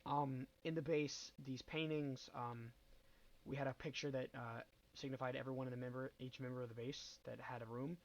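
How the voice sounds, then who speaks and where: A man's voice: mid-range voice, level tone, moderate pace, muffled recording. A young man with a head cold narrates his game build in a loose, rambling way. His mid-range voice is stuffy, full of ums, restarts and second thoughts. He sounds like a casual gaming host at his desk mic. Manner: rambling, hesitant, many ums and self-corrections. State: nasal from a cold.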